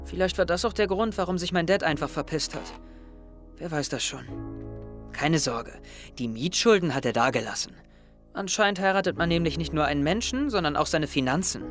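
A man's voice: fairly high pitch, expressive, fairly quick, fairly bright tone, soft background music. A young man playing an introspective, wry teenage schoolboy who narrates his thoughts in an audio drama. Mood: wry, confiding. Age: young adult. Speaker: actor narrating as the main character. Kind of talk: audio drama inner monologue.

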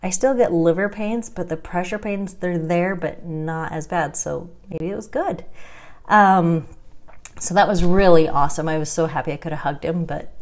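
A woman in her thirties vlogging at home into a close webcam. Her voice sits a little low and her delivery is chatty and wry, with frequent laughs and dry asides. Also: moderate pace. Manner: chatty, wry and self-deprecating, laughs often. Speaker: vlogger sharing her health journey. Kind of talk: casual talking-head vlog. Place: indoors at home, small room.

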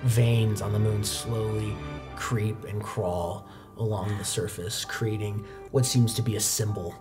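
A man's voice: fairly low pitch, fairly even intonation, unhurried. Suspenseful young male game master over music. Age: young adult. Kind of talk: dramatic horror narration for a tabletop roleplaying game. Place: indoor studio game set at a desk. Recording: music playing behind the voice. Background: background music.

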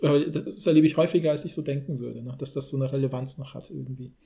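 A man's voice: medium pitch, fairly even intonation, fast, creaky, dark tone. A man from Poland who grew up partly in Germany, co-hosting a podcast in a casual, rambling way. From Poland until about age six, then Germany. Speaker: podcast co-host. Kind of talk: casual conversational podcast talk.

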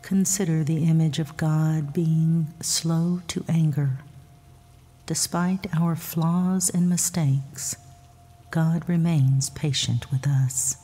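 A woman softly narrating a scripted guided sleep meditation with scripture readings, in a hushed, breathy, soothing and measured voice. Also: sits low. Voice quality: soft, breathy, husky. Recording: long reverb, soft music behind.

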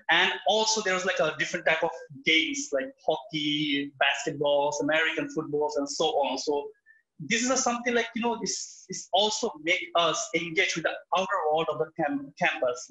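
A young man from Bangladesh with a Bangladeshi accent, speaking earnestly and haltingly with many restarts on a video call from a small room, sharing his student experience. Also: a little high, pushed and bright. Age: young adult. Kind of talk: webinar talk. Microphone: laptop webcam on a video call. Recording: echoey, dull video call audio.

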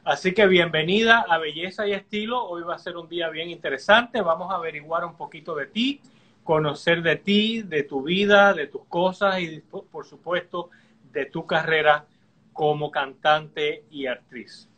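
A friendly middle-aged man hosting an interview over a video call, his voice pitched high and bright. He welcomes and questions his guest in an easy, upbeat tone. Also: normal speed. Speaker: co-host. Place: indoor studio before a leafy backdrop.

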